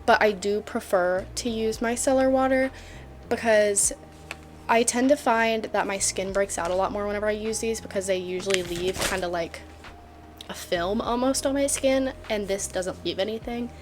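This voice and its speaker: A woman's voice: mid-range voice, normal speed, background noise. A twenty-year-old woman talking casually to camera at home in a chatty vlog, joking and full of fillers. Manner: bubbly, joking, filler-heavy. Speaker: vlogger.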